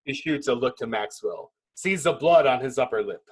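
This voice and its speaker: Husky man narrating a screenplay. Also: mid-range voice, animated, low-quality recording. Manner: dramatic, clipped phrases with pauses. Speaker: narrator reading stage directions. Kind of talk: dramatic screenplay narration in a table read.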